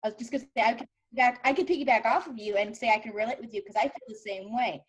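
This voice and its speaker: A woman with a slightly high voice talks very quickly. She is a voice actor chatting casually on a cast panel. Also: husky, rough recording. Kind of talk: casual chat.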